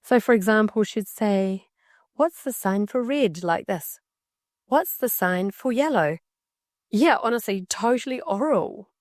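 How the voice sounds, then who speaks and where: A woman interpreting a signer's casual story aloud, in halting, conversational phrases. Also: medium pitch, animated, no echo, studio quality. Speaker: sign language interpreter voicing a signer.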